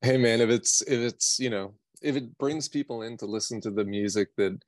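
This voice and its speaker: A man's voice: mid-range voice, normal speed, no room sound. An American man from New York City, a singer-songwriter chatting casually in an interview in a rambling, slangy, self-deprecating way. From New York City, United States.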